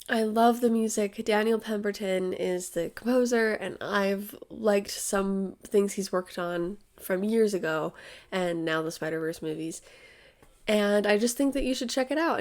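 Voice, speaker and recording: A woman's voice: a little high, darkish tone. Sniffly young woman chatting about a movie. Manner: chatty, thinks aloud with fillers and restarts. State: recovering from a cold, sniffly, tired voice. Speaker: YouTube host. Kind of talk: casual movie review.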